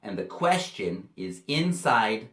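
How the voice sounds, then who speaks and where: A man from Canada, giving a video lesson to young pupils in slow, simple, friendly speech. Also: on the low side, sing-song, a bit bright. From Canada, British Columbia. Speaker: English teacher for children. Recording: roomy echo.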